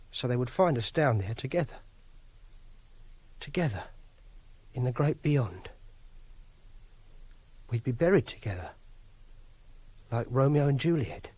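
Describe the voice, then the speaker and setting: An actor playing a quiet, awkward, obsessive loner, speaking a halting, self-pitying confessional monologue in a radio drama. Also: male voice, medium pitch, breathy edge, dry recording. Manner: halting, plain-spoken, brooding. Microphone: close, dry studio microphone.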